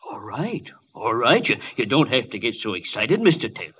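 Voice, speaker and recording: An actor playing a nosy, talkative neighborhood butcher with a folksy, chuckling manner, in a 1940s radio drama. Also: male voice, mid-range voice, big pitch swings, normal speed, slightly rough. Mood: folksy chatty. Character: chatty, nosy neighborhood butcher, middle-aged man. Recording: dull old radio broadcast.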